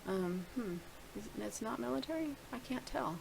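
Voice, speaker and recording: A woman's voice: on the high side, expressive, moderate pace, creaky, background noise. A middle-aged woman casually talking through a screen-share video blog, rambling and thinking aloud with many restarts. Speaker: video blogger.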